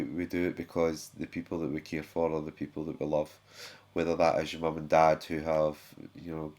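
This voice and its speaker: A British man with a sore throat, his voice sitting very low. He talks casually and haltingly to his viewers, with frequent fillers and self-corrections. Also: a little mellow. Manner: chatty, halting, many fillers and restarts. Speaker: YouTube channel host. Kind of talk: casual talk to camera.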